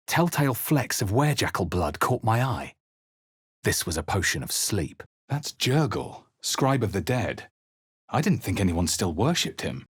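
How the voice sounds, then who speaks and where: A man voicing a wry, watchful fantasy adventurer in a video game, with a measured, dramatic delivery. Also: fairly low pitch, husky, no echo, studio quality, exaggerated voice. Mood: intense, hushed, then matter-of-fact. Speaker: player character voice in a video game. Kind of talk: scripted game narration, barks and spell incantations.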